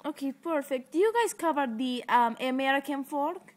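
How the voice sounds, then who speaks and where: A woman's voice: high voice, expressive, normal speed. A teenage girl making a prank phone call from a living room, rambling in fake distress, recorded on a close laptop webcam mic. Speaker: prank caller.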